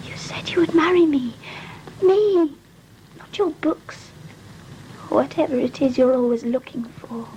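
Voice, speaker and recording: A wounded woman, played by an actress, pleads with her lover slowly and earnestly. Her delivery is intimate audio drama acting, rising and falling with feeling. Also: high voice, expressive, dark tone, lo-fi sound, slight background noise. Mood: hurt.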